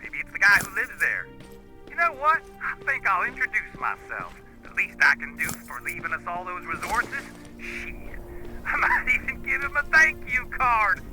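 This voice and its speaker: Cocky, higher-voiced sarcastic resistance lookout. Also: male voice, wildly swooping pitch, normal speed, over music, low-quality recording. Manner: flippant, sneering, slangy. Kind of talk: video game drama acting.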